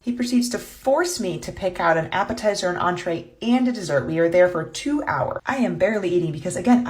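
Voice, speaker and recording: A woman telling a story about a bad date with a wry, dry delivery. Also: on the low side. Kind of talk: storytelling monologue.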